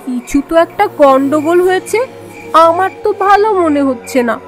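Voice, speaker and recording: Woman playing an anxious, high-voiced village wife. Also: lively intonation, moderate pace, soft background music. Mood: suspicious, fearful. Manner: alarmed, plaintive. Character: anxious, pleading village wife suspicious of her husband. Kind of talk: animated horror story acting.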